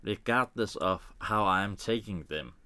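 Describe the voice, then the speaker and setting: A man from Denmark with a Danish accent and Danish as his first language, thinking aloud in halting English with small grammar slips as he walks through editing a photo on a live stream. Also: lowish voice, bright, forceful tone, clean tone, no echo. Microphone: desk microphone.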